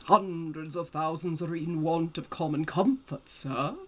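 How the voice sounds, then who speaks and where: A woman voicing a polite, earnest gentleman in a storybook reading, formal and courteous in delivery. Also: sits low, a little slow. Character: polite, earnest charity gentleman seeking donations.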